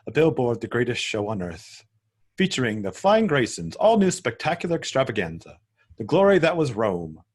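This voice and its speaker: A man narrating screen directions at a script table read in a measured, descriptive way. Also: mid-range voice, sing-song, slightly rough.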